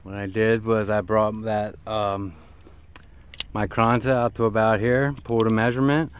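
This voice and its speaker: A middle-aged man giving a casual, hands-on how-to in a halting way, recorded on a handheld camera outdoors by a vehicle. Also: on the low side, little pitch movement. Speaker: CB radio hobbyist presenter. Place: outdoors in and beside a parked vehicle in an open field. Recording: clear background noise, outdoor ambience.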